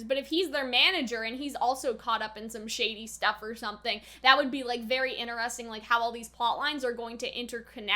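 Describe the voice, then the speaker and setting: A woman's voice: fairly high pitch, sharp tone. A young adult woman giving casual, chatty commentary as a reaction host, speculating informally and saying "like" often.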